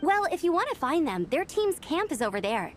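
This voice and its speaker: A woman's voice: sits high, very animated, a little fast, a little hiss or hum. A woman voicing a friendly, chatty young female knight who helps organize an event, acted for a game. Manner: chatty and upbeat. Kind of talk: game voice acting.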